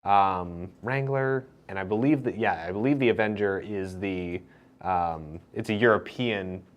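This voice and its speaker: A young man co-hosting a casual morning show in a studio, chatty and quick with asides. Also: low-pitched, muffled recording. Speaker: co-host. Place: studio set in front of a backdrop.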